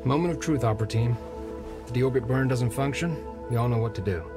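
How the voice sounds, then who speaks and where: A man's voice: medium pitch, fast talker, music in the background, natural voice. A man playing an astronaut crew member reporting flight status in a video game. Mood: tense.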